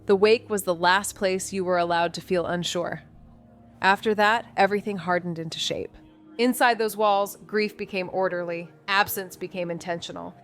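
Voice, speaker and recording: A woman's voice: mid-range voice, close to an everyday voice. Actress doing sardonic young woman detective, terse n knowing, noir podcast drama, music underneath. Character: sardonic, guarded young female amateur sleuth in noir mystery. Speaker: narrator and lead character. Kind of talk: noir scripted narration.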